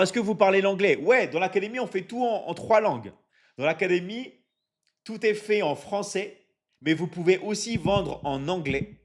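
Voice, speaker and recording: A man from France hosts a live stream for his online academy. He talks at a slightly raised pitch, keeps repeating his points, and often stops to ask his viewers "ok?" between filler sounds. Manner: energetic, repetitive, drops many fillers and asks "ok? d'accord?". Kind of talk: live stream sales pitch reading chat.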